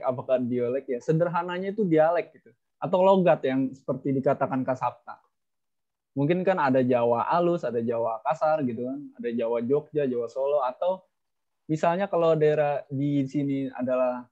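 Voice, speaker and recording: Young man, moderator, joking and chatty, mid pitch, muffled video call sound. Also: a little mellow. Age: young adult. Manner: chatty, jokey, teasing. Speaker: webinar moderator. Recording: dull lo-fi call audio.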